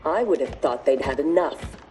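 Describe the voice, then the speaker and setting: A woman's voice: low-pitched, expressive, moderate pace, warm tone, faint music behind. A woman playing a hard, militant futuristic warrior in a video game, with clipped, formal, cold delivery. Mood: dry, curt. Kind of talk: dramatic video game cutscene acting.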